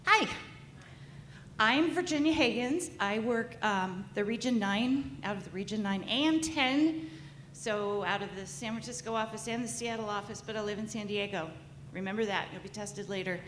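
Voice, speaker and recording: A woman's voice: mid-range voice, moderate pace, fairly bright tone, echoey room, slight background noise. Joking woman introducing herself. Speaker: staff member.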